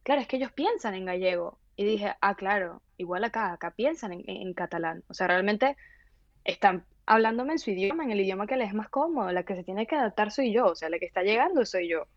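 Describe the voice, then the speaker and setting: A woman from Venezuela, telling stories in an animated casual conversation with many restarts. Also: mid-range voice, brisk, no room sound, muffled recording.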